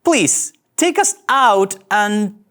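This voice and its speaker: A man's voice: sits high, expressive, very slow, a bit bright, full character voice. A man at a table read playing an anxious, self-dramatizing young starship captain, hesitant and fretful. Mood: exasperated.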